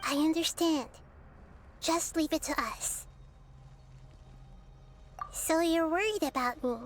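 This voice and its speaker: A woman voicing a kind, wise, childlike little goddess in a video game, speaking gently and thoughtfully at a measured rhythm. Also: extremely high pitch, a little hiss or hum. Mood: reassuring.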